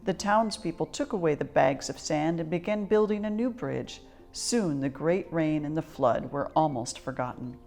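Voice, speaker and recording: A woman storyteller reading a children's storybook expressively, in a measured, articulate way. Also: low voice, gravelly, a little mellow, faint music behind.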